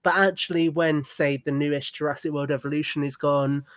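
A man's voice: on the high side, fairly even intonation, a little fast, no echo, clean studio sound. A man chatting casually and earnestly as a podcast guest, effusive in how he talks.

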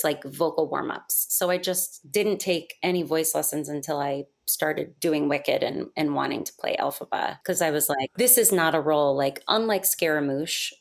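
Woman, low voice, casual interview, chatty, full of filler words, laughs a lot. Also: vocal fry. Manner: chatty, full of "like" and "you know", laughs often. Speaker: musical theater performer, guest.

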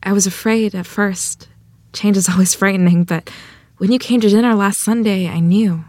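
A woman acting a gentle, sincere young rural bride from the 1920s at a screenplay table read, soft-spoken and measured. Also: medium pitch, fairly even intonation, no room sound, studio quality, close to an everyday voice.

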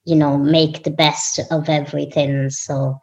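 A young woman from Ireland, a guest in an interview, talking in an unhurried, warm way with frequent ums. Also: sits low, level tone, rough recording. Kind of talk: casual interview conversation.

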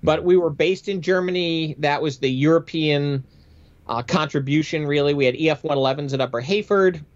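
Middle-aged American male, veteran aviator as interview guest. Higher-set voice, conversational and matter-of-fact, slightly boxy lo-fi sound. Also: moderate pace. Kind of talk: conversational storytelling.

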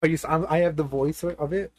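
A man's voice: on the high side, fairly even intonation, quick pace. A young man hosting a casual podcast with friends, joking and teasing as he talks. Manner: teasing, laughing, talks over others. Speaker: podcast host. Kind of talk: casual chat among friends.

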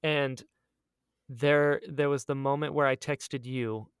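Excitable man chatting, clean dry sound. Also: medium pitch, takes it slowly, clean tone, dry recording. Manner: excitable, emphatic and repetitive. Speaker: podcast co-host and audio drama creator. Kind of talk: casual chat.

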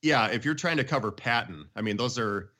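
A man's voice: medium pitch, expressive, fairly quick, bright, forceful tone. A middle-aged man chatting casually about music in an enthusiastic, emphatic way.

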